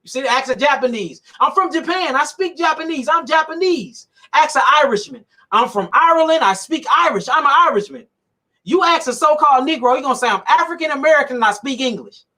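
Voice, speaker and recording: A man's voice: very high-pitched, expressive, fairly quick, sharp tone. A man giving an emphatic, preachy monologue about health, speaking colloquially, repeating his points and laughing often.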